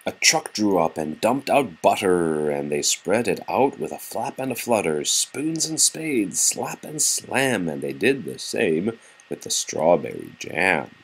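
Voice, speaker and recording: A man with a husky voice reads a children's picture book aloud expressively to young children, with casual, patient asides. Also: sits low, very animated, a little slow, poor audio.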